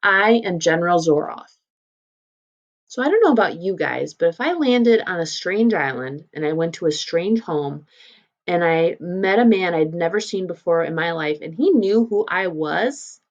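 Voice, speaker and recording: A woman with a slightly low voice reads a story aloud as a teacher would. She adds informal, explanatory commentary as she goes. The recording is a little dull and lo-fi. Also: big pitch swings, moderate pace. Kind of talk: read-aloud lesson with casual explanatory commentary.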